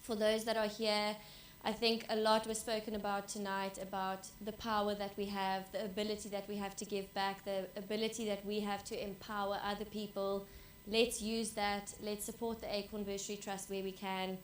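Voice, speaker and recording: A woman from South Africa wraps up a panel evening at an estate lounge, speaking in a flat, even tone. She thanks everyone warmly with frequent ums, and the room gives her voice a roomy echo. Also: medium pitch, normal speed, a little hiss or hum. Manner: grateful, chatty, with many ums. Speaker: event organiser closing the evening. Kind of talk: closing remarks at a live panel event. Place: lounge venue at a wine estate. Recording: echoey room recording.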